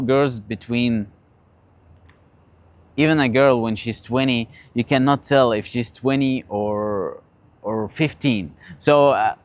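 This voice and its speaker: A man in his late teens telling personal stories in a casual, confessional way, haltingly and often repeating his phrases. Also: medium pitch, dry recording. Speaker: vlogger sharing personal stories and advice. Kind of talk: casual confessional talk, storytelling.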